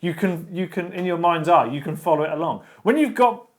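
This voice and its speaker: Male host, slightly high voice, opinionated, conversational explainer. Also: animated, fairly quick. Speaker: show host. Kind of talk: casual chat and book review.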